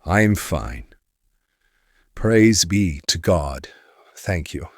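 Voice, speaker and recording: Devout male priest, very low, dry close sound, solemn, measured, gentle audio drama delivery. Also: animated, no echo, full character voice. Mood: solemn, steadfast.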